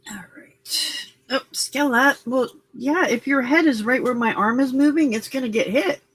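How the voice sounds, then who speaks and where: A woman's voice: sits high, normal speed, a bit bright, slightly rough, low-quality recording. A woman hosting a crafting livestream, chatty and playful, scolding her cat and joking with her co-host. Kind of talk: casual chat while crafting.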